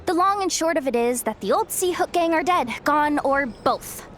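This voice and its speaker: An actress playing a blunt, world-weary young female guide in a fantasy game, curt, streetwise and deadpan. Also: high-pitched, normal speed, soft background music. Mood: jaded, matter-of-fact. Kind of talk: game voice acting.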